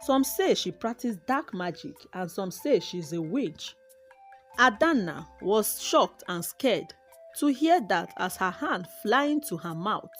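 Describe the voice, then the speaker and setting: A woman narrates a scripted folktale in an expressive, dramatic manner, with background music. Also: medium pitch, slow. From Nigeria. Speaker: storyteller, narrator.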